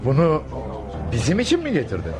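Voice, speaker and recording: A man's voice: on the high side, wildly swooping pitch, fairly quick, over music. A male actor playing a kindly, wise Sufi master in a radio play, solemn and fatherly. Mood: calm, welcoming. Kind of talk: Turkish radio theatre acting.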